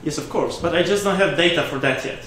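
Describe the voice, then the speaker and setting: A man's voice: on the high side, quick pace, a bit bright. A man presenting research at a conference, answering audience questions hesitantly with many restarts. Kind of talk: academic Q&A discussion. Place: conference lecture room. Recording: echoey hall with faint noise.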